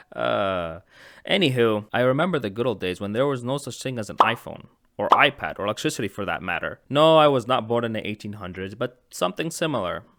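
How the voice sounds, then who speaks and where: A man's voice: medium pitch, lively intonation, brisk, creaky, a bit bright, dry recording, studio quality. A man from Egypt narrating a comedic childhood story, with rambling joking asides. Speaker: animation channel host.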